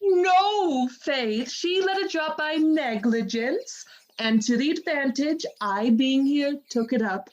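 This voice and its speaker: A woman's voice: high-pitched, expressive, slow pace, slight background noise. A woman playing a teasing yet uneasy maidservant and wife in a Shakespearean radio drama. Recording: dull, muffled lo-fi sound.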